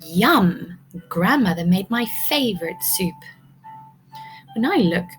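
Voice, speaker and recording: A woman's voice: medium pitch, expressive, slow pace, soft background music, low-quality recording. A woman teacher reading a picture book aloud to young children, expressively, prompting them with questions.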